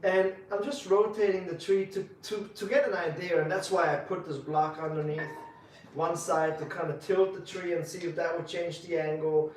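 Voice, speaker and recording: A man with a fairly high voice talks quickly through a live bonsai demonstration, loose and joking as he explains. He sits well back from the mic, and his voice bounces around a very echoey room. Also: steady tone, distant mic, a little hiss or hum. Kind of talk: live-stream demo chat.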